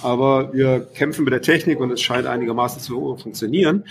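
Guy hosting an interview remotely, mid pitch, clear hiss behind him. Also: fairly quick. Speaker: interview host. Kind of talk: radio interview over remote connection.

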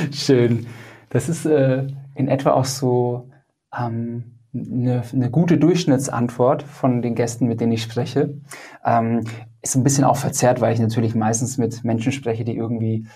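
Male podcast host, mellow and husky. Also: medium pitch, fairly even intonation, measured pace. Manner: friendly, upbeat questions. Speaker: podcast host and app founder. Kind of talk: podcast interviewing.

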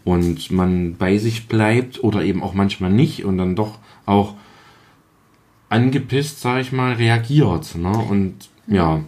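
Deep-voiced man chatting reflectively. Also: takes it slowly, darkish tone. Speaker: podcast guest. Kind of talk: casual chat. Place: kitchen.

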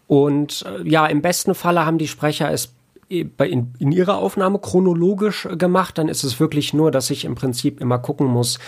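Creaky-voiced man explaining in conversation. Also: on the high side, a little mellow. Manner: halting, repeats words and hedges as he thinks. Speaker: audio producer and sound engineer, podcast guest.

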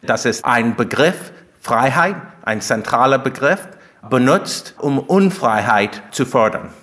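Man with an American accent, medium pitch. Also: expressive, takes it slowly, a bit bright. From United States. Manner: non-native German with small grammar slips. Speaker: interview guest, philosopher and author. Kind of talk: explanatory interview answers.

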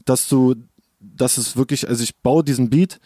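A man's voice: mid-range voice, normal speed, mellow, no room sound, studio quality. A young man, a rapper and producer, chatting casually and rambling in slang in an interview. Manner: slangy, rambling, says "Digga" a lot.

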